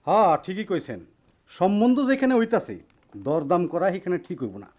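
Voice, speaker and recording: An actor playing a smooth-talking village matchmaker type in a radio play, coaxing in a rural Bangladeshi accent. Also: male voice, high-pitched, very animated, fairly quick, a little mellow. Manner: coaxing, ingratiating. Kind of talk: Bengali radio play acting.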